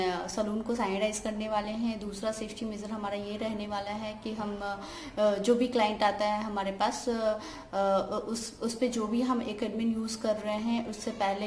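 A young woman, a salon owner, talks casually to a close phone camera, pausing often with "uh" fillers and mixing in English words. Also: medium pitch. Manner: hesitant, many "uh" fillers, mixes English words. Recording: echoey room, faint background hiss.

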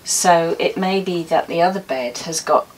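A woman's voice: lowish voice, moderate pace, a little hiss or hum, low-quality recording. Easygoing woman chatting in a boat cabin. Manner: easygoing, laughs often, practical. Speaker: sailing vlogger. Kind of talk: casual chat. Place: inside a sailboat cabin.

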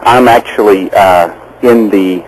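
A low, husky male voice phoning in to a radio show. He talks in a friendly, halting way, with plenty of "uh"s between his thoughts. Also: a little mellow, rough recording. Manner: friendly, halting with many fillers. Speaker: caller. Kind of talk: phone-in conversation.

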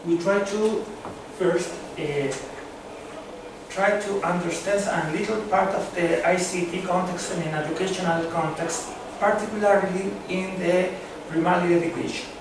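A man with a Chilean Spanish accent giving a conference talk, halting, with many fillers and restarts. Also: high-pitched, fairly even intonation. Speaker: conference presenter. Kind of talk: academic conference presentation. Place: seminar room with a long table. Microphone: far camera. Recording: echoey room from far off, background noise.

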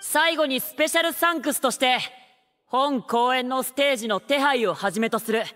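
A man's voice: extremely high pitch, normal speed, pushed and bright, faint music behind. A man voicing a formal young stage announcer in a game, polite and presentational, in a reverberant hall. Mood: formal, proud.

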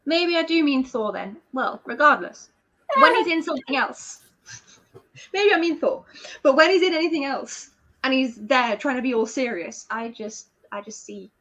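Twenties woman, high pitch, dull lo-fi webcam sound, dry teasing delivery. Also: lively intonation, moderate pace, a bit bright. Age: 20s. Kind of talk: casual video-call chat. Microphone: video call.

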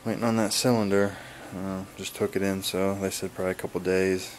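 A man giving a casual, laid-back vlog update in a halting, plain-spoken way, recorded on a handheld camera in a garage. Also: low voice, little pitch movement, a little hiss or hum. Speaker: wood-splitting video blogger. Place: garage, open to outdoors. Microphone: handheld camera's built-in mic.

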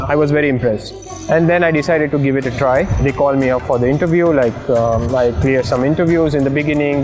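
A young Indian man with an Indian English accent speaking in a documentary interview, relaxed and hesitant with frequent fillers. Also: medium pitch. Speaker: sales manager.

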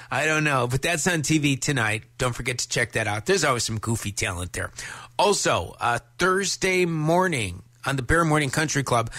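A man's voice: medium pitch, no echo, studio quality. A man in his fifties working as a country radio DJ, chatting casually in a folksy, jokey, conversational way between songs. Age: 50s. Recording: loud music under the voice. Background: music bed.

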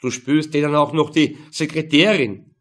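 A man voicing a gruff, irascible Austrian police major and father, loud, sneering and interrogating. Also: fairly high pitch, normal speed, pitched above the performer's own voice.

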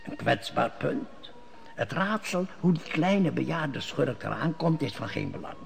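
A man's voice: mid-range voice, very animated, a little slow, gravelly, faint music behind, heavily acted. An actor playing a scheming male court elder who speaks in sly riddles, in an old radio play. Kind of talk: 1950s Dutch radio drama acting.

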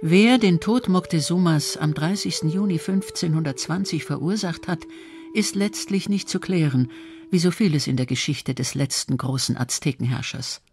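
Documentary narrator, male, high register, scripted read, music underneath. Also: normal speed, darkish tone.

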